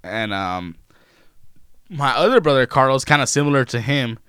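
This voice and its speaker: A man's voice: mid-range voice, expressive, normal speed, pushed and bright, clean tone, no echo, studio quality. A young man in casual podcast chat, loose and profane, rambling with trailing asides.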